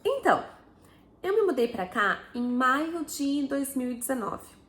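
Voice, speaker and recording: A Brazilian woman in her thirties speaks with a Brazilian Portuguese accent in a relaxed, conversational vlog style. She often tags her sentences with little questions. Her voice sits a bit high and rings in an echoey room. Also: measured pace. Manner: chatty, frequent tag questions. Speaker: vlogger. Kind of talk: casual personal story to camera.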